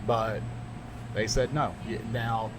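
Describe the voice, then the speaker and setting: A middle-aged man with a Southern US accent, a radio broadcaster chatting casually on a podcast, animated and quick to jump up in pitch when he makes a point. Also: background noise. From American South. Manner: animated storyteller, jumps up in pitch when emphatic.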